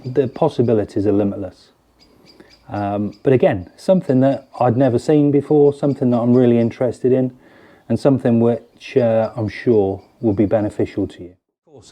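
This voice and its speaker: A man's voice: medium pitch, dark tone. A British man in his forties, chatting casually as he demonstrates fishing lures to camera from a garden shed. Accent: British English. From United Kingdom. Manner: chatty, hands-on, explains while fiddling with lures. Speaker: fishing tackle presenter. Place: garden cabin or shed, indoors.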